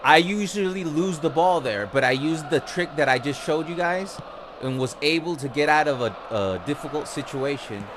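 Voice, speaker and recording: A man's voice: on the high side, normal speed, noisy background. Chatty gamer man coaching while he plays. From Costa Rica. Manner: casual and chatty, coaching the viewers. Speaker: gaming streamer. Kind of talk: live gameplay commentary. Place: home-style room, not his own home.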